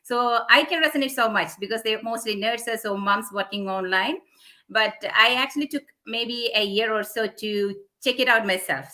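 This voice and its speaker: Casting: woman live-stream host, bright and forward tone, casual, hesitant delivery with fillers. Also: fairly high pitch, low-quality recording. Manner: friendly, hesitant, with many fillers. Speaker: host of a live online interview.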